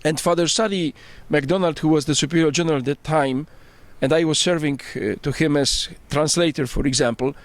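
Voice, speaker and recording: A man's voice: on the high side, brisk, hardly any pauses, no room sound. A middle-aged man from Poland with a Polish accent, a priest answering interview questions earnestly, searching for his English words, recorded outdoors on a rooftop. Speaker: priest and bishop-elect, interviewee. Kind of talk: casual interview.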